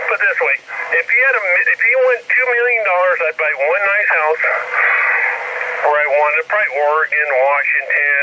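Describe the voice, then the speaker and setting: A man's voice: a little high, lo-fi sound. An American man chatting casually over two-way radio, rambling and peppering his talk with "dude" and "you know". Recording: noisy two-way radio transmission.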